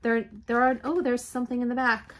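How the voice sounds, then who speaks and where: Chatty congested woman, slightly high voice. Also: little pitch movement, fairly quick, fairly bright tone. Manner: chatty, enthusiastic, frequent throat clearing. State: raspy and congested from allergies. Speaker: reseller vlogger. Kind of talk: casual shop-with-me haul chat. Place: home craft room with shelves.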